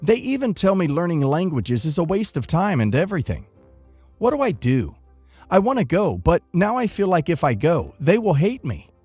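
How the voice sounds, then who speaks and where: A man narrating first-person online stories from a script in an expressive reading manner. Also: on the high side, normal speed, slightly rough, dry recording, faint music behind.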